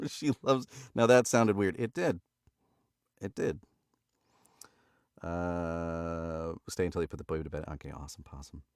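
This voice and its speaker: Stuffy deep-voiced man reading aloud. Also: creaky, no echo, studio quality. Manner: casual and chatty, laughs often, corrects his own misreadings. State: stuffy nose from allergies. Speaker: audiobook narrator and livestream host. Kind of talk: live reading of a novel with casual chat.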